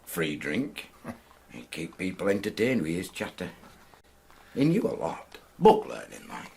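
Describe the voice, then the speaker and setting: A very low-pitched Yorkshire landlord, chatty and blunt. His delivery is lively and gossipy, in homely dialect. There is some background noise, as in a radio play. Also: measured pace, full character voice. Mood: amused, chatty. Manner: chatty, colloquial, chuckling. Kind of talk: radio drama acting.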